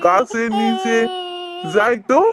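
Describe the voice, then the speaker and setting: A woman's voice: on the high side, lively intonation, slow, a crowd in the background. A woman playing a kind, earnest, hard-working village husband in a fairy-tale cartoon. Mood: puzzled. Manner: earnest, caring. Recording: music behind the voice.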